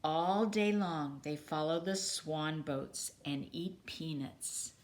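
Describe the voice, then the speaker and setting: A woman reads a children's story aloud in a slightly low voice. Her pace is very unhurried, and her phrasing is deliberate and expressive. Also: a little hiss or hum. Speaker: storybook reader. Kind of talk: children's picture book read-aloud.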